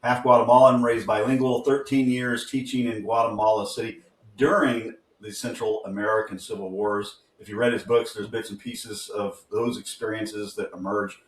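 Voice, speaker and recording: A man's voice: on the low side, fairly even intonation, moderate pace. A groggy man co-hosting a podcast, chatting casually and rambling with lots of fillers and self-corrections. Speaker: podcast co-host. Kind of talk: casual interview talk. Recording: roomy sound from a distant mic.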